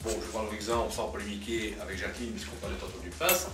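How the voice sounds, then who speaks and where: A man's voice: on the low side, steady tone, fast talker. A man speaking as a local politician in a spontaneous debate, arguing insistently and repeating his phrases. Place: cafeteria. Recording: echoey noisy room, slightly distant.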